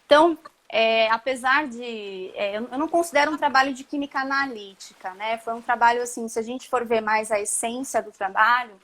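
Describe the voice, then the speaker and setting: Chatty São woman, fairly high. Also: a little fast. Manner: chatty, relaxed, many tag questions and restarts. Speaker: chemistry professor, guest. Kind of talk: casual interview on a live stream.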